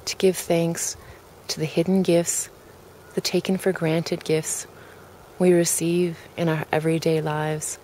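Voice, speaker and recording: Low-pitched, slow woman guiding a relaxation. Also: slight background noise. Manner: calm, gentle, deliberate phrasing with pauses. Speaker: guided relaxation leader. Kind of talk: guided meditation, soft spoken invitation.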